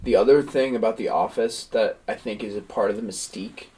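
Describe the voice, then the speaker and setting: A man's voice: lowish voice, brisk, crowd noise, low-quality recording. A young American man from Pennsylvania talking informally as a co-host on a podcast with friends. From Pennsylvania, United States. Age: young adult. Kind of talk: casual chat among friends.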